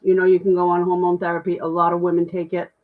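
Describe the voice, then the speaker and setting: Middle-aged woman with a deep voice, casual and candid livestream host, thin phone-quality audio. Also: monotone, fast talker. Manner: chatty, self-deprecating, candid. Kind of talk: casual livestream chat reading comments.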